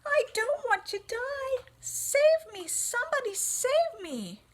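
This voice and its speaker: A shrill, squealing young pig from a storybook, always on the edge of panic, as a woman reading aloud puts him on. The voice sits far above her usual pitch and swoops widely, and it is drawn out slowly. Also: sing-song, sharp tone, heavily acted. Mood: terrified. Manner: high shrill wailing. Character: young male pig, frightened and pleading.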